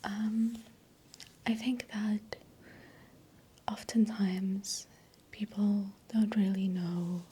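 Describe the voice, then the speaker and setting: A South African woman whose first language is Afrikaans, speaking an ASMR voiceover in a soft, gentle, unvoiced whisper into a close mic that moves from ear to ear. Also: medium pitch, flat delivery, very slow, pauses often, husky. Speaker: ASMR creator. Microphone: close sensitive mic, sounds moving ear to ear.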